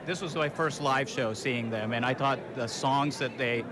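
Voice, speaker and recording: Calm, deliberate man after a show. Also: mid-range voice. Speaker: concertgoer. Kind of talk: vox pop reaction. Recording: crowd noise outdoors.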